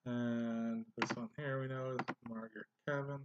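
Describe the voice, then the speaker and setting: A man of ordinary pitch talks through a software walkthrough, thinking aloud and pausing on "uh" and "um." His home recording is dull and lo-fi, with steady noise and the clicks and typing of a computer behind him. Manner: thinks aloud with frequent fillers. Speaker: genealogy tutorial host.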